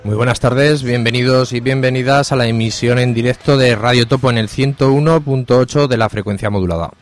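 A man's voice: mid-range voice, fairly even intonation, fairly quick, loud music behind the voice. A man with an accent from Spain presenting a live community radio show in an informal, hesitant way. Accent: Spanish (Spain). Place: radio studio.

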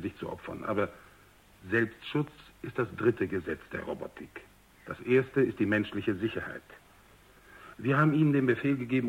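An actor playing a self-justifying military general in a German radio drama, formal and explanatory with some bluster. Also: male voice, medium pitch, lightly acted. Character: self-justifying, brusque military general, courteous to superiors' experts. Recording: slightly dull radio recording.

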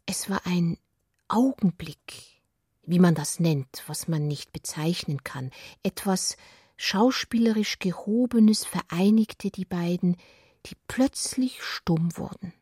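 Expressive woman narrator with a husky edge. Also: fairly low pitch, slow, no echo, clean studio sound. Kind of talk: literary narration for a radio play.

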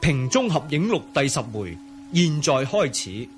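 A male announcer with a Hong Kong Cantonese accent reads radio drama credits. His delivery is animated, moving widely in pitch over clear background music. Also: measured pace.

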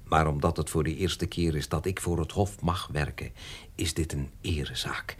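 An actor playing a discreet, obsequious, evasive notary in a radio drama, polite and very formal. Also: male voice, extremely low pitch, moderate pace, slightly rough, full character voice. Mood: confidential. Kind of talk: Dutch radio drama acting.

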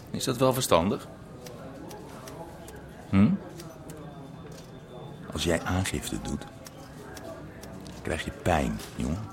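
Low-voiced, mocking, menacing thug. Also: male voice, gravelly, heavily acted. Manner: mocking, threatening, falsely polite. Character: menacing Amsterdam underworld thug bullying a squatter. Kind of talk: radio drama acting.

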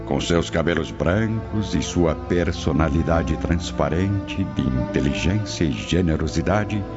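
A man's voice: extremely low pitch, a little slow. A man narrating a radio drama from a script in a solemn storytelling manner. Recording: muffled sound with prominent music.

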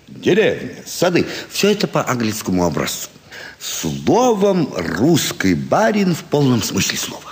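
An actor playing a sly, self-assured card sharper in a classic stage comedy, savouring his story with dramatic pauses. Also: medium pitch, exaggerated voice. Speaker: actor in an audio play. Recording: stage hall with long reverb.

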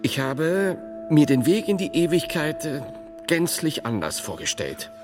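A solemn medieval theologian, wildly varying intonation. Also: male voice, a little high, sing-song. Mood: reasoning. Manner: solemn, formal, deliberate. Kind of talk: radio play acting. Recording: long reverb of a tunnel, music behind.

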